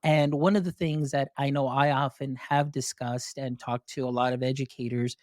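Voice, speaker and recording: A man's voice: mid-range voice, fairly even intonation, moderate pace, a little mellow, dry recording, clean studio sound. A man hosting a podcast interview, effusive and enthusiastic as he introduces his guests. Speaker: podcast host.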